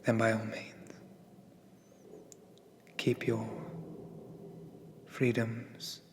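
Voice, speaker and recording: A man voicing a sadistic, condescending dark elf captor in a breathy half-whisper, deliberate and softly menacing, for an ASMR roleplay. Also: fairly low pitch, monotone, background noise. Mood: cold menace.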